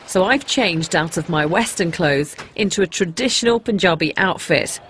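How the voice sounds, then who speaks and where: A woman's voice: on the low side, normal speed, fairly bright tone, slight background noise. A woman with an English accent presenting a personal documentary, in narration and to camera. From England.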